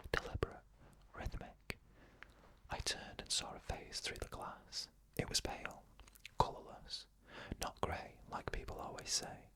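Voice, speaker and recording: A narrator reading creepy first-person stories in a soft, unvoiced ASMR whisper with slow, measured phrasing. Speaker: ASMR storyteller.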